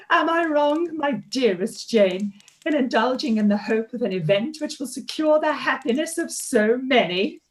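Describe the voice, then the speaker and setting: Period radio play: woman playing haughty, sugary society lady, slightly high voice, formal letter-reading delivery. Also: lively intonation, unhurried, poor audio. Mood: coy, gloating. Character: Caroline Bingley, smug, falsely affectionate society lady.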